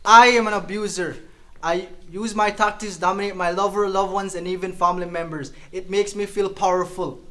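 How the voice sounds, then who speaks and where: A man's voice: sits high, steady tone, fairly quick, sharp tone. A man playing a controlling, insecure abuser who confesses in a declarative monologue for an educational drama. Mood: blunt, confessional. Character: controlling, insecure male domestic abuser confessing his tactics.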